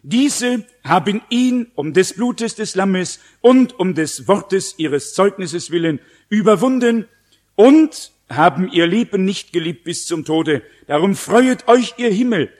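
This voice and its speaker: High-voiced man preaching. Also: lively intonation, slow, a little mellow. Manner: emphatic, didactic, asks the listeners questions. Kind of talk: sermon with read-out scripture and teaching.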